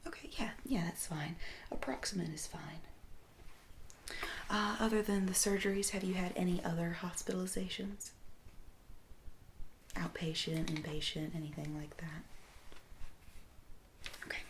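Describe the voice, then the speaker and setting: A woman speaking softly and breathily, often near a whisper, as a doctor gently asking unhurried questions in an ASMR roleplay. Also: fairly low pitch, steady tone, slight background noise. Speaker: ASMR performer playing a doctor. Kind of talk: soft-spoken ASMR medical roleplay.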